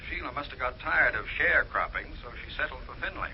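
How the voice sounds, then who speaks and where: A man plays a friendly waterfront priest who passes on news briskly. His voice is animated and mid-pitched. The old radio recording sounds dull, with faint hiss. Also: lightly acted. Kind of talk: 1940s radio drama acting.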